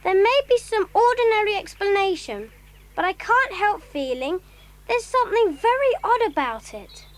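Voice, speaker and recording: A woman's voice: very high-pitched, animated, normal speed. A woman playing a plucky, earnest young schoolboy adventurer in a children's audio drama. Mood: earnest, puzzled.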